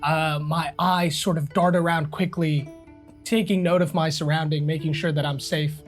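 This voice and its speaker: A man's voice: fairly high pitch, fairly even intonation, normal speed, faint music behind. A man playing a tabletop fantasy game, casually describing what his character does.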